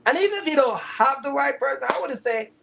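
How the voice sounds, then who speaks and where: A man's voice: extremely high pitch, expressive, brisk, creaky, background noise. A man hosting a casual livestream, teaching viewers in an emphatic way and often checking that they follow. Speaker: livestream host, trucking business mentor. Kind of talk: casual live chat to viewers. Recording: dull, lo-fi live stream audio.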